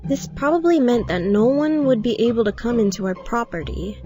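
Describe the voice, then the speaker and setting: A woman's voice: a little high, expressive, a little fast. A woman narrating a scripted first-person horror story. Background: background music.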